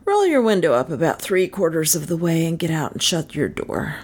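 A woman voicing a casual, wry male detective in a crime story, with a brisk, bossy manner. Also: lowish voice, very animated. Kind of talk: storybook character voice in crime fiction.

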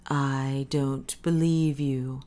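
An anguished, worshipful man, acted by a woman narrator in an audiobook. The delivery is low and drawn out, with a quavering, reverent fervor. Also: fairly even intonation, mellow, exaggerated voice. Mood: anguished disbelief. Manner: slow, fervent, quavering, reverent. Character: anguished male zealot in rapturous religious confession. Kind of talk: dramatic audiobook character reading.

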